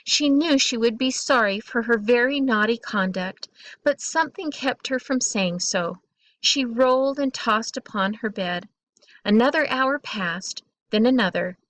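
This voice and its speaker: A woman reading a children's bedtime story aloud in an expressive, deliberate way, drawing out key words. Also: on the high side.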